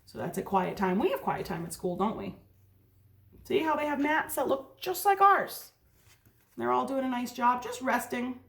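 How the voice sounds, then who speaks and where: A woman with a fairly high voice reads a picture book as a teacher would to young pupils. She moves between narration and simple explanations, often checking in with her listeners through questions. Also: expressive, moderate pace, gravelly. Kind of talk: read-aloud storytime with asides to children.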